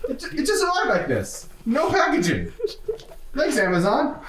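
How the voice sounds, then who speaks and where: A man's voice: extremely high pitch, huge pitch swings, normal speed, heavy background noise. A man hosting a casual vlog of a computer build with friends, joking around and laughing easily. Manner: playful, jokey, laughs easily. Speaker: video editor and channel host. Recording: roomy sound with music behind.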